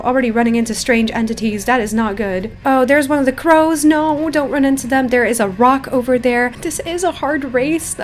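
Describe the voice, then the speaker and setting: A young woman giving enthusiastic, gushing commentary as she plays a video game. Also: sits high. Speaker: gaming video creator, host. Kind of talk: gameplay commentary, reading story dialogue aloud. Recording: music behind the voice.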